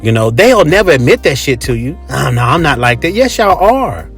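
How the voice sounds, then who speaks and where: A man's voice: on the high side, sing-song, moderate pace, a bit bright, faint music behind. An American man hosting a podcast, giving a casual, opinionated monologue in an emphatic, repetitive, slangy way. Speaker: podcast host.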